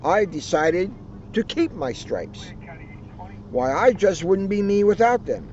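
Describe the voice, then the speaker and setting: A man's voice: high-pitched, lively intonation, slow, darkish tone, voice pushed up. A man voicing a cheerful, boastful, bouncy tiger from a storybook in an exuberant, chummy way. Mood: proud, cheerful. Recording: noisy muffled outdoor recording.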